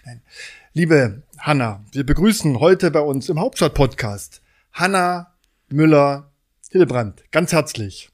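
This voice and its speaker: Podcast host, man, slightly high voice, effusive and teasing. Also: lively intonation, slow. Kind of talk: casual podcast banter.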